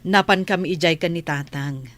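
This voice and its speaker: A woman's voice: fairly low pitch, moderate pace, close to an everyday voice. A woman with a northern Philippine accent playing a matter-of-fact village mother in a radio drama. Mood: defensive, plain.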